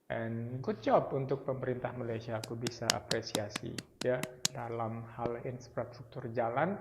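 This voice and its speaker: A man's voice: mid-range voice, steady tone, unhurried, heavy background noise. A man from Sumatra in Indonesia, chatting casually and thoughtfully about his travels, slipping in English phrases. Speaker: traveller sharing impressions. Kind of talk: casual chat. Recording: dull lo-fi sound.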